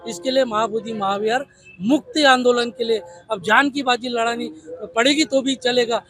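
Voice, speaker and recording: A man's voice: very high voice, fairly even intonation, moderate pace, a bit bright. A man with a Maharashtrian accent speaking as an activist in an impassioned interview, insistent and fond of repeated rhetorical questions. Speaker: protest leader and party activist.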